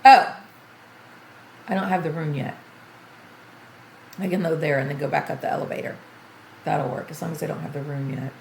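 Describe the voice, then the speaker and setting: An older woman streaming a video game tutorial, chatty and thinking aloud as she plays, her voice going a bit hoarse. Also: deep voice, normal speed, long pauses, darkish tone, reverberant, slight background noise, poor audio. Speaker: gaming streamer. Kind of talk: game tutorial livestream commentary.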